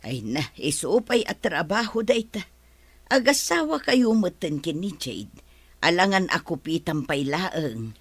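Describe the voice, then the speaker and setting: A woman's voice: fairly low pitch, big pitch swings, unhurried, breathy edge, no room sound, exaggerated voice. A woman acting as a nagging older mother-in-law in a comedy-drama radio play.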